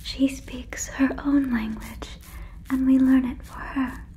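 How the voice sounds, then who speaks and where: A woman playing a gentle, curious, naive female alien in an ASMR roleplay, in a breathy whisper with stilted, formal, careful phrasing, close on the microphone. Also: high voice, fairly even intonation, slow pace, slight background noise. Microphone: close fluffy microphone.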